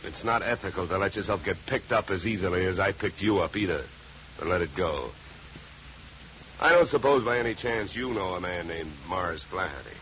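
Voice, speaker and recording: An American actor playing a tough, wry private investigator in a 1950s radio drama, with a dry, controlled, sardonic delivery. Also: male voice, deep voice, lively intonation, a little fast, fairly bright tone. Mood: dry, probing. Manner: hard-boiled, dry, controlled. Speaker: lead actor and first-person narrator. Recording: muffled, noisy 1950 radio recording.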